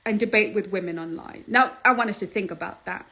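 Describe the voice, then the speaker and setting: A woman from Zimbabwe, Shona her first language, speaks with a Zimbabwean accent as a relationship coach. She talks in an emphatic, lively way, laughing often and asking "okay?" and "do you understand?". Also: medium pitch, a little fast, gravelly. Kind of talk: casual advice talk.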